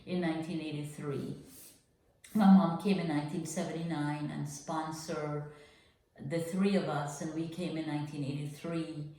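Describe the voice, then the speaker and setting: An older woman from Guyana telling her life story as a podcast guest, earnest and reflective, with frequent pauses. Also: low voice, mellow, very echoey, recorded from a distance, slight background noise, poor audio.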